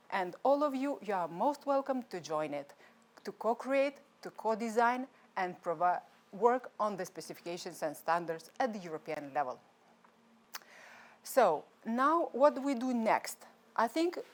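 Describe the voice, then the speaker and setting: A woman from Lithuania with a Lithuanian accent, a non-native English speaker hosting a live-streamed conference from a studio in a chatty way, with some restarts. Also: on the high side. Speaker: conference host.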